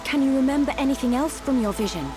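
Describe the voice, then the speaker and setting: A woman's voice: sits high, quick pace, over music, natural voice. An actress playing an eager young woman in game cutscene dialogue. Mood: inquisitive. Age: young adult.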